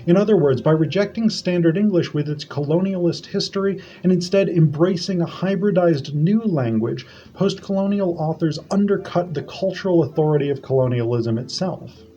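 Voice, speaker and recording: A man reads aloud a prepared academic paper, formal and measured. His voice sits a little high but sounds mellow and warm. The audio is dull and lo-fi. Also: soft background music. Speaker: academic presenter. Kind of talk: reading a prepared conference paper.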